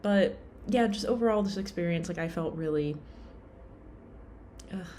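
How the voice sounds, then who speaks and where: Tired young woman vlogger, low pitch, chatty and meandering, self-deprecating. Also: normal speed, warm tone, slight background noise. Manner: rambling, lots of "like" and "um", self-deprecating. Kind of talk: casual rambling vlog.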